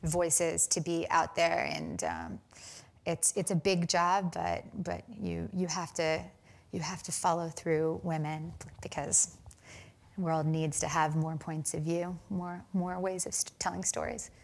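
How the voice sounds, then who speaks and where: A young American woman answering interview questions thoughtfully, with many pauses, fillers and restarts. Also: deep voice, creaky. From United States. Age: young adult. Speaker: film director, interview guest.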